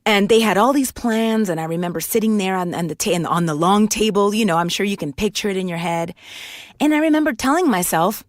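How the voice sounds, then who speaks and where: A woman's voice: mid-range voice, fairly quick, no echo, clean studio sound. A woman in her forties from Puerto Rico, telling her life story candidly in a casual interview, with many restarts. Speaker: guest voice actor and singer.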